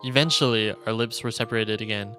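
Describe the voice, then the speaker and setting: A male streamer reading visual novel narration aloud in a solemn, deliberate storytelling manner. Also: on the low side, fairly even intonation, pushed and bright. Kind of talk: dramatic reading of game narration. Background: game music.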